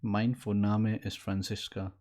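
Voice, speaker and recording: A man in his late twenties, a native Maithili speaker, teaching a casual online lesson at a desk, explaining and pausing with fillers. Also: fairly low pitch, flat delivery, dark tone. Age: late 20s. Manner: explains in mixed speech, halting with fillers. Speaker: language teacher. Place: indoor room at a desk.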